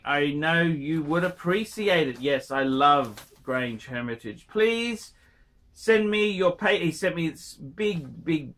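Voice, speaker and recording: Male watch host, high and bright, blunt and informal, reads mail aloud and replies. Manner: blunt, informal, swears casually. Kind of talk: reading a viewer's email aloud and replying.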